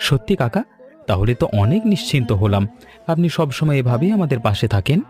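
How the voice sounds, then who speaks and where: A man's voice: medium pitch, big pitch swings, a little fast, darkish tone, soft background music, close to an everyday voice. A man as a polite young village lad. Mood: polite, relieved. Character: polite, grateful young village son seeking reassurance. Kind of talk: cartoon voice acting.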